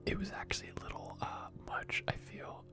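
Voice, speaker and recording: A person whispering casual, rambling ASMR gaming commentary in a hushed, chatty way, close to the microphone. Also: moderate pace. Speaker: video game streamer. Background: music.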